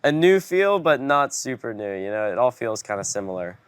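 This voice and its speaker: A man's voice: mid-range voice, big pitch swings, a little fast. A young man from Hawaii answering interview questions in an easygoing way, with lots of "like" and "you know". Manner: laid-back, many fillers like "you know" and "like". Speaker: guest. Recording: noisy background.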